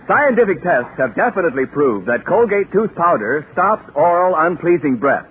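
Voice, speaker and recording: A male announcer from old-time radio with a polished sales delivery. His voice is high for a man and very lively in pitch. The recording is thin and tinny. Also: normal speed, background noise. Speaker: radio announcer and comic foil. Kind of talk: 1940s radio sponsor patter.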